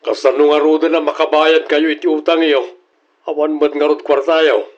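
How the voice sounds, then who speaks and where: A man's voice: on the high side, little pitch movement, normal speed, dull audio, lightly acted. A man playing a practical, concerned grown son in an audio drama, questioning and admonishing. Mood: frustrated, reproachful.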